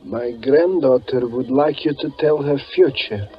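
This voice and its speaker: A man's voice: medium pitch, measured pace, natural voice. An actor playing a humble, polite old peasant grandfather in 1940s radio drama style. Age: older. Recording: muffled 1940s radio recording.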